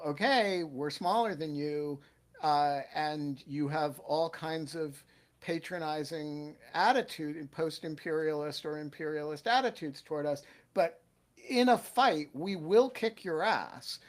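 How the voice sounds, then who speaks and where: A man hosting an online panel discussion, talking emphatically and thinking aloud with fillers and restarts. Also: fairly high pitch. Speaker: host.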